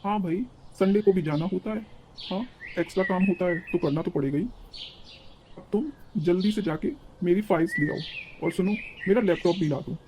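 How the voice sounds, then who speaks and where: A woman's voice: on the low side, moderate pace, background noise, natural voice. A woman playing an exasperated, hot-tempered young city husband in a cartoon, scolding and impatient. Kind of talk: cartoon story dialogue.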